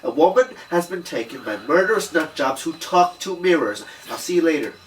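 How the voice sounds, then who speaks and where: A man's voice: on the high side, sing-song, brisk. Man reading as sceptical hardboiled detective, distant mic. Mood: sceptical, gruff. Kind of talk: amateur script table read. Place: room, read aloud at a table. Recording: distant room mic, faint noise.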